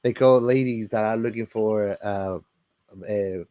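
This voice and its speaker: A man's voice: fairly low pitch, fairly even intonation, unhurried, warm tone, a little hiss or hum. A man chatting casually as a podcast co-host, very informal and often tagging his phrases with "man". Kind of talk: casual film podcast chat.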